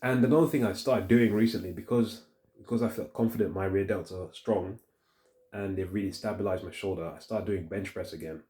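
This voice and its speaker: A man with a deep voice talks casually to his phone in a rambling, halting vlog, thinking aloud as he goes. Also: steady tone, warm tone. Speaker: fitness vlogger. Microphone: handheld phone.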